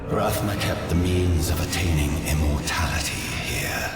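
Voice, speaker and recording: An actor playing a grave, obsessive male cultist scholar in a video game, speaking in solemn, deliberate, portentous tones. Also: very deep voice, fairly even intonation. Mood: brooding. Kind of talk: dramatic video game monologue. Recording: very echoey, distant, music behind.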